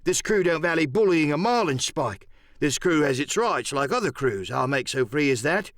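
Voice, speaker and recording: A man performs a discontented pirate crewman in his thirties in an audiobook. The voice is pitched up and surly, stating grievances with mock courtesy in rough seaman's talk, close and dry. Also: animated, moderate pace, fairly bright tone, dry recording. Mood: insolent, aggrieved. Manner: formal grievance in rough sailor speech. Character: surly mutinous pirate of thirty-five, mockingly polite. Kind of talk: dramatic audiobook character acting.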